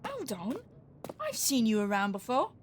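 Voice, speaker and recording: A cheeky little street girl in an audio drama. Her voice is high and swoops about, teasing and knowing. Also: wildly swooping pitch, moderate pace, a little hiss or hum.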